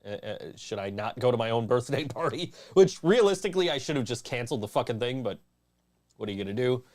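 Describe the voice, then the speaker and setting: A man's voice: medium pitch, lively intonation, fast, darkish tone. Rambling man in his forties. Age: 40s. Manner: rambling, easily distracted, laughs often. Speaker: podcast host. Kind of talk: casual chat.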